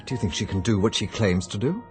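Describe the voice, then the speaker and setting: An actor playing a polite, educated gentleman art historian in a radio drama, courteous and a little hesitant as he investigates. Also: lowish voice, very animated, fast talker, faint music behind. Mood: polite, musing.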